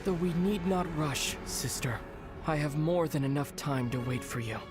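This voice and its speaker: An actor voicing a grave, resolute young warrior prince in a video game, speaking in a measured, formal way. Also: male voice, on the high side, close to an everyday voice. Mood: calm, solemn. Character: solemn young warrior prince, long-lost brother, resolute and weary. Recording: game music behind the voice.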